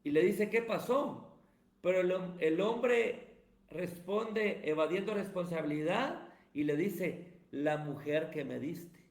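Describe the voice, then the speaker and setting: Male pastor, high voice, preachy emphatic delivery, roomy echoey sound. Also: slow pace. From Guatemala. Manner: emphatic, sermon-like cadence. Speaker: pastor and evangelist, guest. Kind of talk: preaching-style interview answers. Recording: roomy echo.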